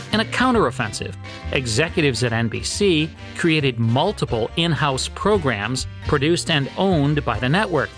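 A man with an American accent hosting and reading scripted documentary narration in a measured, informative way. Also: medium pitch, fairly bright tone. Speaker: podcast host. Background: guitar music.

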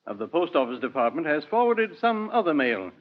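A man's voice: a little high, sing-song, brisk. A man playing a formal, easily flustered courtroom judge in a 1940s radio drama. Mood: dry, formal. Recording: muffled, noisy 1940s radio broadcast.

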